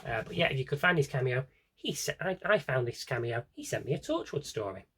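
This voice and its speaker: A man's voice: medium pitch, very animated, fairly quick, a bit bright, breathy edge. A British man chatting casually and unscripted as he shows off a collection, rambling, correcting himself and throwing in dry, offhand humour. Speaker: video blogger showing an audio drama CD collection.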